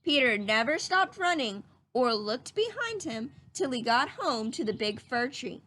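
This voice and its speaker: High-pitched woman reading a children's story. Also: very animated, a little slow, sharp tone, slight background noise. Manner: teacherly, explanatory, addressing children. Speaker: storyteller reading a children's picture book aloud. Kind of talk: children's read-aloud with questions to young listeners.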